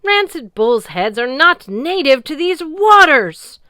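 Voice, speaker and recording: A woman voicing an imperious, scornful ancient sea goddess with a deep, booming voice and a haughty, commanding manner. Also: a little slow, a bit bright, clear, resonant tone, dry recording, studio quality, natural voice.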